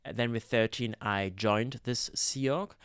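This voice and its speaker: A man, telling his own story in a gentle, campy vlog, with hesitant fillers. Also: medium pitch, a little slow, clear, resonant tone, no echo, studio quality. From Switzerland. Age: 30s. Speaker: YouTuber, ex-Scientologist. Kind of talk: personal testimony vlog.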